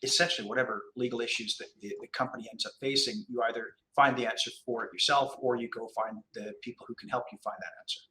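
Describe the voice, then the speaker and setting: A middle-aged man with a Canadian accent, a commercial lawyer explaining his career in a relaxed interview, measured and hesitant with many restarts. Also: medium pitch, rough recording.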